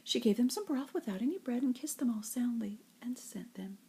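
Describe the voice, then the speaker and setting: An older woman with a breathy, husky voice reads nursery rhymes like a grandmother, in an expressive singsong storytelling way. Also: a little high, fairly quick, background noise. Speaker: grandmother reading to children.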